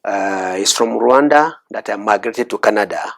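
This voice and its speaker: A middle-aged man from Cameroon, a guest in an interview, talking in a measured, soft-spoken way with frequent hesitations. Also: lowish voice, vocal fry. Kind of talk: interview conversation.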